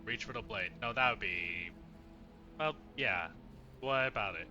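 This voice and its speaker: A man's voice: lowish voice, measured pace, creaky, highs cut off, over music. A man doing casual let's play commentary, reacting with muttered asides and swearing, and reading dialogue options aloud.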